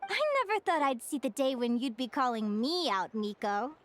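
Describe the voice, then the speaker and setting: A woman voicing a gentle, playful female teacher in a video game, unhurried and teacherly. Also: fairly high pitch, expressive, lightly acted. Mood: amused.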